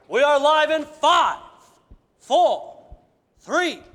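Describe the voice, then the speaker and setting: An actor playing a showy 1940s radio announcer hosting a live broadcast on a theater stage. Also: male voice, extremely high pitch, sing-song, extremely slow, fairly bright tone, exaggerated voice. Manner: showy, emcee patter. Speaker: radio show host. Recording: echoey hall with crowd.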